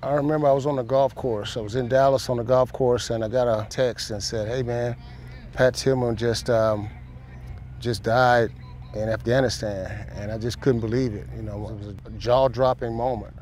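A middle-aged man gives a halting interview recollection. His pitch is ordinary, and faint outdoor ambience sits behind him. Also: fairly even intonation, darkish tone, a little hiss or hum.

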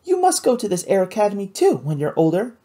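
An audiobook reader voicing a wealthy, eager, well-meaning young woman who is earnest and quick to take offence at unfairness. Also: medium pitch, huge pitch swings, warm tone, husky, pitched above the performer's own voice. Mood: indignant.

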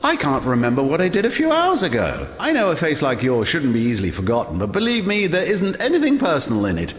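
An actor playing an eccentric, forgetful old architect in a video game, boastful, rambling and theatrical. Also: male voice, fairly high pitch, wildly swooping pitch, quick pace, a little hiss or hum. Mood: rueful, addled. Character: eccentric, forgetful old male genius architect, proud and unhinged. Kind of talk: dramatic video game acting. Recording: echoey hall with long reverb.

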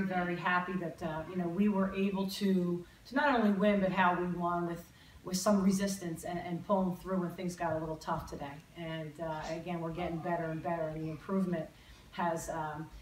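A middle-aged woman head coach speaking at a postgame press conference, halting, with many fillers and repeated words. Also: on the low side, fairly even intonation, slight background noise. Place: press room in an athletics building. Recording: roomy, distant press-room audio.

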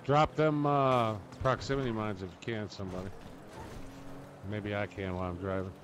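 A man's voice: fairly low pitch, steady tone, moderate pace. A man in a group gaming voice chat, giving short casual callouts to his teammates. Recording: noisy voice chat with game vehicles.

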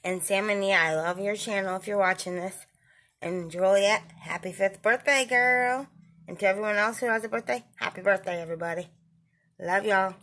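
A woman's voice: medium pitch, moderate pace, fairly bright tone. A middle-aged woman, chatting casually to her viewers from a small living room, recorded on a phone held at arm's length, friendly and repeating her requests to like and subscribe. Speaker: vlogger.

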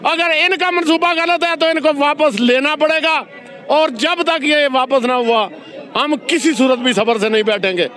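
A man's voice: very high voice, moderate pace, pushed and bright. A middle-aged man speaking defiantly at an outdoor street protest into a reporter's handheld mic. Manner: impassioned, defiant. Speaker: teachers' union leader. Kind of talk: protest interview.

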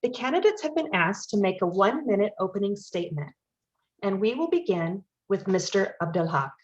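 A woman moderating a forum, reading prepared announcements in a measured, formal manner. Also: mid-range voice, big pitch swings, lo-fi sound.

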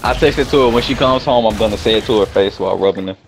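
A man's voice: fairly low pitch, rapid-fire, over music. A man chatting casually and jokingly with his friends over voice chat.

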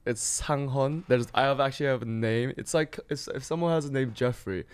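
A man's voice: medium pitch, brisk, clear, resonant tone, no echo. A young man with a mixed British and American accent, chatting as a guest in a podcast studio, a little hesitant. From Korea. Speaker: guest actor. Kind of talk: casual chat. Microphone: studio mic on boom.

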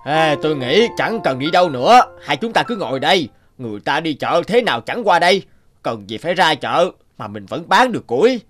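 A man's voice: a little high, very animated, brisk, a bit bright, faint music behind. A man playing a lazy young woodcutter in a cartoon folk tale, complaining and dismissive.

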